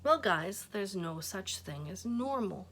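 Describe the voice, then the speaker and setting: A woman reading aloud, voicing a kind, gentle blind man in a children's storybook. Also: medium pitch, expressive, takes it slowly, a little hiss or hum.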